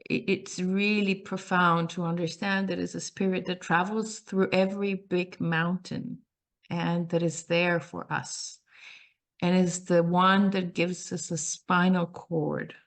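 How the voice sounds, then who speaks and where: Slow, soft-spoken woman from Costa Rica. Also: lowish voice. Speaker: meditation call participant. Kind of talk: slow reflective sharing.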